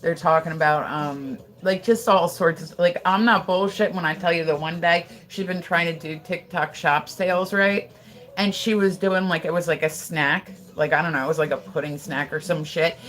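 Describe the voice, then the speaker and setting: An American woman livestreams from her house, chatting loosely with her viewers in a gossipy, profane way. Her voice sits a little low for a woman, and her talk is full of "like" and asides. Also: moderate pace, fairly bright tone. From United States. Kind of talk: casual livestream chat, reading and answering viewers.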